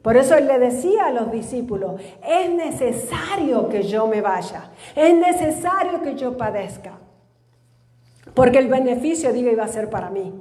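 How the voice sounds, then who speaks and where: A woman's voice: on the high side, animated, dark tone. Woman preaching in an echoey church hall. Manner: reads scripture slowly and has the congregation repeat after her. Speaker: pastor. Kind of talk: live church sermon teaching.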